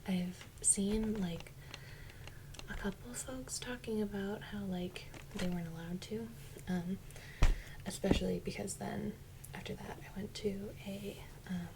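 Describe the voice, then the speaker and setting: Loud background noise and clicks surround a woman's soft, breathy voice. She speaks ASMR style, often dropping close to a whisper, in a casual and gentle way, as if musing while she handles toys. Her pitch is average for a woman. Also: steady tone, a little slow. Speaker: ASMR video host. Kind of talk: soft-spoken ASMR toy unboxing.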